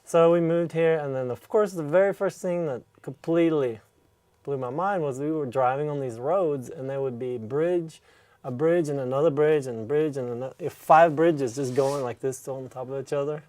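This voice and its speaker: Relaxed middle-aged man from Norway. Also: a little high, moderate pace, dry recording. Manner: relaxed, rambling storytelling with many "you know" asides. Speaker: interviewee. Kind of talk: casual conversation.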